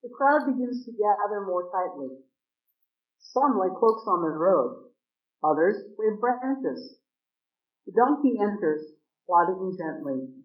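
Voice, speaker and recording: A man narrating a church dramatic reading in a slow, steady voice. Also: high-pitched. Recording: echoey church, dull sound.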